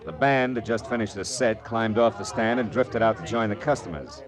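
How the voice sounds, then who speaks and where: Man acting a low-voiced, tough radio private eye. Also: moderate pace, a loud crowd, close to an everyday voice. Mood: wry, narrating. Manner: clipped, commanding, wisecracking. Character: tough, curt, sardonic male private detective. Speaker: detective and narrator. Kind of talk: 1940s hardboiled radio drama acting.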